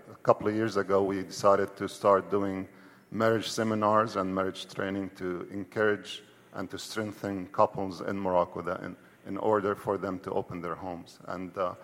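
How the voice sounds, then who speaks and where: A man speaking as a missionary guest, explaining things haltingly with repeated words in a church hall. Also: low-pitched, fairly even intonation. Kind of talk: explanatory interview answer. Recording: reverberant large hall.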